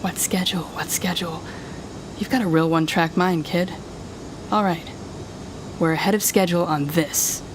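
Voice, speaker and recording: Woman acting a low-voiced, taunting telepathic villainess. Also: steady tone, noisy background, natural voice. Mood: confident, mocking. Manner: taunting, condescending, deliberate. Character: smug, menacing female telepathic villain, taunting and controlling. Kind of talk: audio roleplay acting, addressing the listener.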